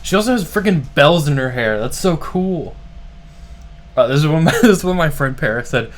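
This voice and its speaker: A man hosting a live stream, reacting to a game trailer in casual, excited, rambling talk full of "like". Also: fairly high pitch, moderate pace, faint music behind. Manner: excited, rambling, heavy use of "like". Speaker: streamer host.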